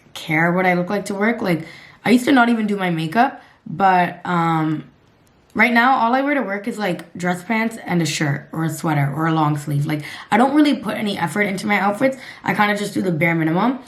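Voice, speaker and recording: A woman in her twenties from Canada with a raspy voice, chatting bluntly and casually to her camera, swearing and laughing at herself. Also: on the low side. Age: 20s. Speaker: lifestyle vlogger.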